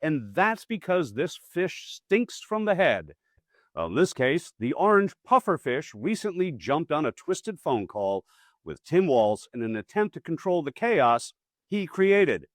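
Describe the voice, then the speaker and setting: Wry male political commentator, animated pitch. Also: unhurried, no room sound, clean studio sound. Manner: wry, punchy, colloquial asides. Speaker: host.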